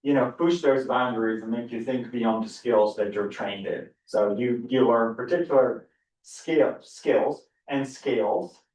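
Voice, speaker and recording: A man's voice: mid-range voice, unhurried, a little mellow, a little hiss or hum. A middle-aged man with a Dutch accent gives a university seminar lecture in a room, eager and full of repeated words and restarts. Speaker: biology professor giving a seminar. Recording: echoey room, far from the mic.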